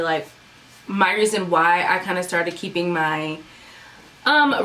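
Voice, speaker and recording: A woman's voice: medium pitch, fairly bright tone. A young woman from the United States with a Sudanese family, chatting casually on her vlog, rambling with many fillers. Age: young adult. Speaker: YouTuber. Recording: mic at a distance, faint room noise.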